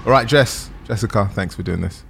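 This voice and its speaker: A man's voice: on the low side, creaky, over loud music. A man hosting a podcast, chatting casually with his guest, teasing and laughing often. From Nigeria. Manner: teasing, laughs often, quick back-and-forth. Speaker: podcast host. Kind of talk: casual interview chat.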